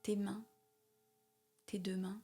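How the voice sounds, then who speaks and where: Very slow, soft-spoken woman guiding meditation. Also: mid-range voice, creaky, soft background music. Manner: calm, soothing, repetitive phrasing with long pauses. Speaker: yoga nidra guide. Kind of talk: guided meditation, spoken slowly.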